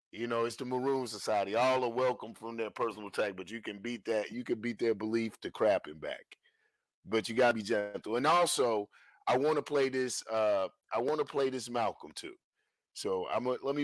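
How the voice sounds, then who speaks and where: A man's voice: mid-range voice, no echo. Slangy, emphatic male livestream host. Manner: slangy, emphatic, repeats phrases. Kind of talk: casual talk-show monologue.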